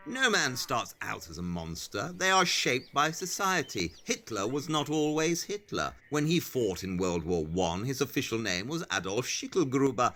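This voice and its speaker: An actor playing a brisk, all-knowing male detective in an audio play, explaining things precisely and with condescension. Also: mid-range voice, fairly bright tone. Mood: grave, reflective.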